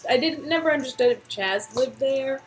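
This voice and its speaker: A woman co-reading a story game, chatting casually in a giggly, animated way. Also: high-pitched, normal speed, faint music behind. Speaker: co-reader in a two-person game read-through. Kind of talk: casual banter.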